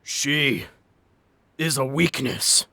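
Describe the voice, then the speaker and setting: A man voicing a dying, menacing Cyclops giant in an audio story, gasping and rasping as he slowly taunts. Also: medium pitch, bright, forceful tone, dry recording, full character voice. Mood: menacing, spiteful. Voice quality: raspy, gasping, wet gurgle. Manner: slow, drawn-out taunting.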